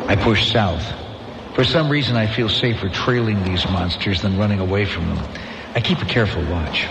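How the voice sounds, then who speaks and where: Deep-voiced haunted survivor astronomer for a radio play, solemn measured narration, long reverb. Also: male voice, creaky, noisy background. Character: haunted lone male astronomer survivor, middle-aged. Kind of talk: 1990s radio drama acting, first-person narration.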